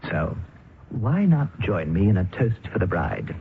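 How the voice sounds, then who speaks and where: An idealistic young doctor pleads his case with earnest passion. His voice carries a gravelly edge and swings widely in pitch. The sound is thin and phone-like, as in an old radio broadcast, with faint hiss. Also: huge pitch swings, measured pace, heavily acted. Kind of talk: 1970s radio drama acting.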